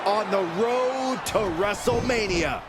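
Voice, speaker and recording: A man calling wrestling as an excited play-by-play commentator. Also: high-pitched, slow. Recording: loud arena crowd and noise. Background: roaring crowd.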